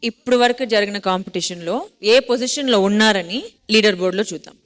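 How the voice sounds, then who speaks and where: A woman's voice: medium pitch, fast. A woman speaking as a dance show judge, explaining competition standings on stage.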